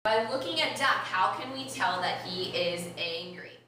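A young woman teaching an interactive read-aloud to young children, expressive and instructive, stressing key words slowly. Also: medium pitch. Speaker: primary school teacher. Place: elementary classroom, indoors. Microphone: far camera. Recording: roomy, noisy classroom, distant camera microphone.

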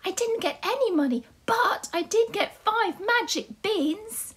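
A woman's voice: extremely high pitch, expressive, unhurried, fairly bright tone, voice pushed up, exaggerated voice. A woman voicing an innocent young boy in a children's fairy tale, speaking with childlike eagerness. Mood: earnest.

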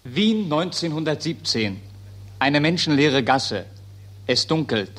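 An actor as the grave narrator of a radio play, reading stage directions in a measured, precise, literary way. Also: male voice, fairly high pitch, expressive, fairly bright tone, soft background music, exaggerated voice.

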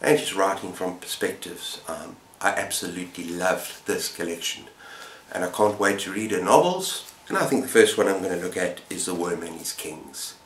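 A man in his fifties with a fairly low voice talks casually to camera in the role of a book reviewer. He is enthusiastic and rambles a little. The room adds clear echo, with a faint layer of noise behind him. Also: lively intonation, normal speed, fairly bright tone. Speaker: book reviewer and channel host. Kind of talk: casual chat to camera about books. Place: small indoor room with bookshelves.

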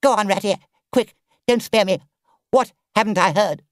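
A man voicing a conceited, excitable toad gentleman from a storybook in a theatrical, swaggering manner. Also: high voice, measured pace, no room sound, studio quality, pitched above the performer's own voice. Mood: alarmed, pleading.

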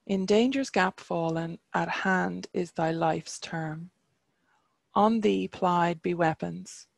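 A reader giving voice to a defiant young warrior hero from old legend, declaiming archaic verse with a deeply gravelly, creaky edge. The delivery is slow and measured, and the sound is close and dry with no room around it. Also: no echo. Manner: archaic verse read aloud, chant-like.

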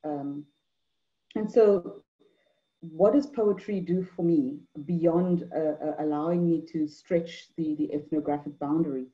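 A middle-aged woman from Mauritius gives an online talk on a video call, measured, with many fillers and repeated words. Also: deep voice, dark tone. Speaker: anthropologist and poet speaker. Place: indoor room at home. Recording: dull lo-fi video call audio.

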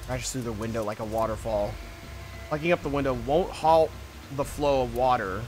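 A man's voice: medium pitch, normal speed, soft background music. A man streaming a puzzle adventure game, casually commenting, reading text aloud and muttering to himself.

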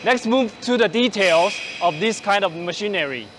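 A man in his forties presenting machinery on a large factory floor, speaking haltingly with many fillers and restarts, using a lapel mic. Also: high-pitched, expressive, very noisy. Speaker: company presenter on a factory tour. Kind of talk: product walkthrough.

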